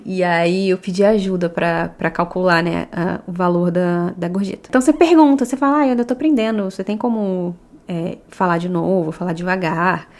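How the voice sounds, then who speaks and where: A woman's voice: lowish voice, a little fast, a little mellow. A Brazilian woman with a Brazilian Portuguese accent hosting a podcast, chatting casually and often laughing between points. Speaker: podcast host.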